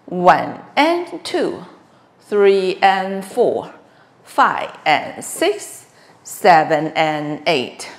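A middle-aged woman leads a dance lesson. She calls steps and counts in short clipped phrases at a very slow, deliberate pace. Her pitch moves in a lively way from cue to cue. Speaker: line dance instructor. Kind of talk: step-by-step dance instruction, calling counts. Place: small bare room with wooden floor.

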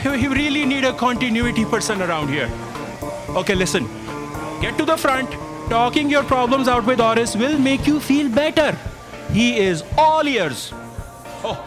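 A man's voice: very high voice, moderate pace, music in the background. A middle-aged man playing a fussy, matter-of-fact office assistant in a staged audio drama. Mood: fussy, patient. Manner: theatrical, explanatory. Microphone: stand microphone on a theatre stage.

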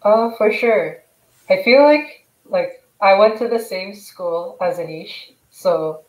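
A woman's voice: lowish voice, roomy sound, low-quality recording. A young woman from Hong Kong, a university student, chatting casually in a video call discussion. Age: young adult.

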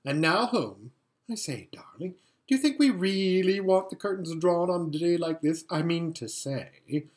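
A woman's voice: lowish voice, animated, measured pace, darkish tone, pitched above the performer's own voice. A woman voicing a vain, lazy, giggly young noblewoman in a storybook, with an affected drawl and gushing manner. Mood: breezy, drawling.

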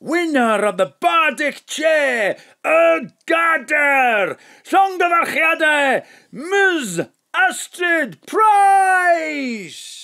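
A man with a Welsh accent playing an over-excited, bombastic festival announcer in an audio drama, with declamatory, drawn-out proclamations. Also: very high voice, creaky, raised voice, exaggerated voice. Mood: triumphant.

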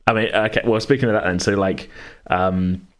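A man's voice: on the low side, steady tone, normal speed, clean tone. A British man in his thirties hosting a casual chat, rambling and often cutting himself off mid-thought. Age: 30s. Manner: rambling, hedging, self-interrupting. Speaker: podcast host and game master.

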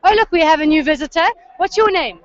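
A woman with a South African accent interviews passers-by in a high voice. She is friendly and encouraging in a live outdoor style. The recording sounds slightly muffled. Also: animated, quick pace. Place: outdoors at a crowded street gathering. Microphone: handheld microphone. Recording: muffled, band-limited outdoor field audio.

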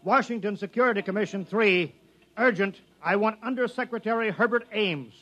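Harried base officer, American, 1950s radio play. High-pitched, sharp, placating. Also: male voice, moderate pace, dull audio. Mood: tense alarm. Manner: placating, tense. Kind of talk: 1950s radio drama acting. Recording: old 1950s radio broadcast.

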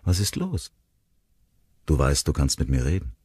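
Ein Mann spricht einen besorgten Vater. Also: male voice, a bass voice, wildly swooping pitch, normal speed, warm tone, husky, dry recording, heavily acted. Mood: concerned. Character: caring middle-aged father.

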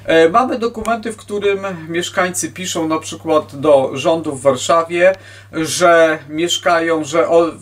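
Male lecturer, 50s, slightly high register, hesitant informal delivery with false starts, miked from a distance indoors. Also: a little slow, a bit bright. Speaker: historian giving a lecture. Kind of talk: informal history lecture to camera. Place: book-lined room at home, indoors. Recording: distant microphone in a room.

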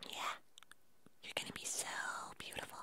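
A woman doing a gentle, pampering ASMR roleplay, speaking with many pauses. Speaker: ASMR performer. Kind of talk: whispered ASMR personal attention roleplay.

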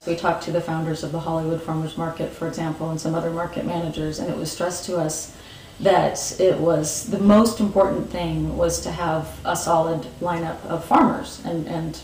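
A woman, answering interview questions thoughtfully with pauses and restarts, seated in a room with bookshelves. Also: low-pitched, flat delivery, darkish tone, roomy sound, far from the mic, a little hiss or hum, poor audio. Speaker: market organizer.

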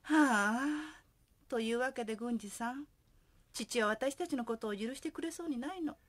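An actress playing a worried young singer, an earnest, sighing daughter in love, in a radio drama. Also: female voice, a little high, moderate pace, close to an everyday voice.

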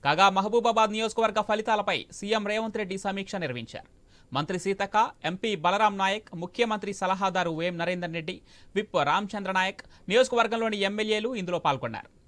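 A man's voice: high voice, quick pace, a bit bright. A man working as a news anchor, reading reports and putting questions to a correspondent.

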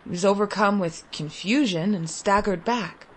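A woman narrating a literary short story in a deliberate, expressive, dramatic way with long pauses. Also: medium pitch, a little hiss or hum. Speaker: audiobook story reader.

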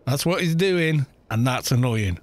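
A man's voice: mid-range voice, animated, measured pace. A man streaming a game, chatting casually in a rambling, self-deprecating way on a close desk mic.

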